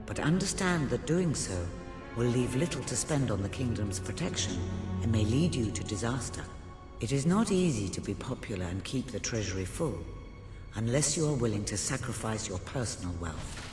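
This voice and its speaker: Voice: mid-range voice, very animated, moderate pace, close to an everyday voice. An actor playing a solemn, cryptic mentor in a video game, speaking prophecies of doom. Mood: stern, foreboding. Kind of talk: dramatic video game cutscene dialogue. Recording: echoey hall reverb, music behind.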